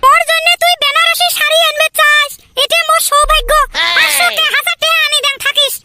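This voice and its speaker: A man voicing a nagging, soft-hearted village mother in a comedy cartoon, with a squeaky voice and a northern Bengal accent. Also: little pitch movement, a little fast, loud music behind the voice. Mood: touched. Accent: northern Bengal (Cooch Behar region). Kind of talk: comedy cartoon voice acting in rural dialect.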